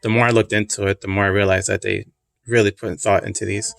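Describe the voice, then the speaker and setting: A man casually reviewing a collectible pin, soft-spoken and rambling, with a voice he calls calming. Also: on the low side, quick pace, vocal fry, no room sound. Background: classical music.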